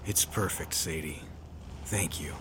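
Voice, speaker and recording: An actor playing a tough, weary but kind 1930s private detective in a noir audio drama, speaking dryly and deliberately. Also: male voice, a bass voice, fairly bright tone, slight background noise, heavily acted. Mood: tender, subdued.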